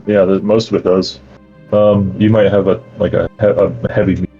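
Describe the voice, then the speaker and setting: A man acting as game master, reading out campaign lore and then explaining the travel rules in a relaxed way with many fillers. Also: low-pitched, moderate pace, muffled recording, soft background music.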